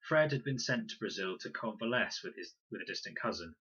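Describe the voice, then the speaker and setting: A man reads a children's novel with deliberate, expressive storytelling. His voice is fairly low and rough-edged, with a bright, forceful delivery, captured in dull sound. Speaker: story reader, teacher. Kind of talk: scripted narration, children's novel read aloud.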